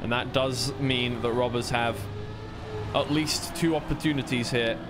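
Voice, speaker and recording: Male esports caster, mid-pitched voice, informal and measured live racing commentary, clear engine noise behind him. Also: fairly even intonation. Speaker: esports commentator streaming a tournament. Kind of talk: live play-by-play commentary, casual and unscripted.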